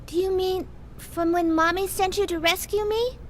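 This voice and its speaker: A woman's voice: extremely high pitch, fairly even intonation, moderate pace, slight background noise. An actress playing an earnest, innocent little girl in a video game. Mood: earnest, upset.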